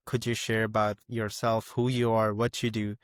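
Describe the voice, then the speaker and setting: Male podcast host, lower voice, dry close sound, encouraging interviewer. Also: level tone, normal speed, no room sound.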